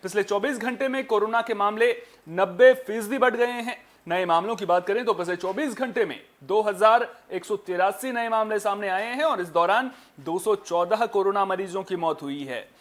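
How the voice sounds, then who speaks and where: A male news anchor with a notably high voice presents a bulletin. His delivery is emphatic, and he tends to repeat and rephrase key points for the viewer. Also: normal speed.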